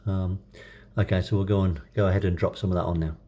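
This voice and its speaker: A man gives a casual hands-on demonstration, thinking aloud in an unhurried, hesitant way. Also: low-pitched, fairly even intonation, warm tone. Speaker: hobbyist video presenter.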